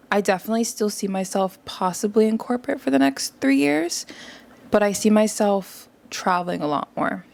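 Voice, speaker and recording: A young woman, a guest in a casual conversation, talking in an animated, chatty way and quick to agree. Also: lowish voice, gravelly. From New York area.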